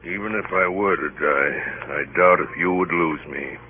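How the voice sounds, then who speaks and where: An actor playing an imposing, mocking ship passenger in a theatrical old radio drama, grandiloquent and deliberate. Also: male voice, deep voice, slight background noise, natural voice. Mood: taunting. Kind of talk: 1940s radio acting. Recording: tinny old radio broadcast, faint music.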